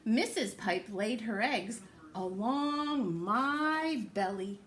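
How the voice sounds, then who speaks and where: A woman reads the part of a proud, cheerful papa fish in a children's storybook. The voice is fairly high and very unhurried, with a contented, dutiful manner. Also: expressive, fairly bright tone, a little hiss or hum, full character voice. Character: cheerful, proud father fish minding his eggs.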